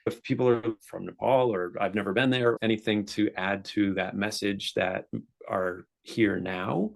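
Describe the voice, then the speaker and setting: A male podcast host with a low, gravelly voice. He talks earnestly and encourages his guest, making firm points in easy conversation. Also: steady tone, moderate pace. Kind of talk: casual interview conversation.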